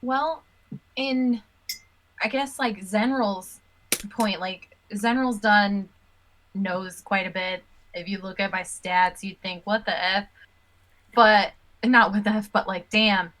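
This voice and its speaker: A woman with a bright, clear voice talks loosely about her tabletop character. Her talk is casual with mild swearing, at a middle pitch. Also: fairly even intonation, slow pace, rough recording. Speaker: tabletop game player. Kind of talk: casual chat about a game session.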